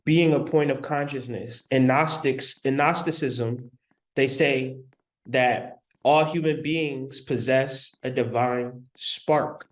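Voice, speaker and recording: Guy teaching spiritual stuff, real slow and deliberate, lots of pauses and repeating himself. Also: mid-range voice, steady tone, poor audio. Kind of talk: spiritual lecture.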